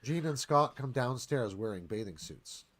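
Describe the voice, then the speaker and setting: Guy reading stage directions, husky lowish voice, lots of movement in the pitch. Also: lively intonation, normal speed, fairly bright tone. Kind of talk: scripted screenplay narration.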